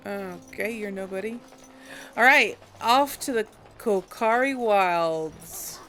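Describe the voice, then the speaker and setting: A woman's voice: medium pitch, takes it slowly, a bit bright, a little hiss or hum. A young woman casually commentating as she plays a video game, chatty and amused, thinking aloud. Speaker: let's play host.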